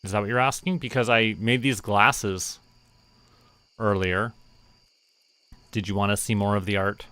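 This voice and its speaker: A young man streaming a relaxed game, talking to himself and counting under his breath as he plans. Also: fairly low pitch, measured pace, long pauses, clean tone, no echo. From Canada. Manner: muttering, counting to himself while planning. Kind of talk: relaxed gameplay commentary.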